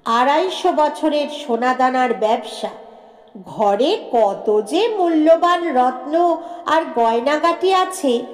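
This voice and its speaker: A woman's voice: sits high, animated, slow. A woman narrating a scripted short story as a storyteller. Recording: echoey room with long reverb.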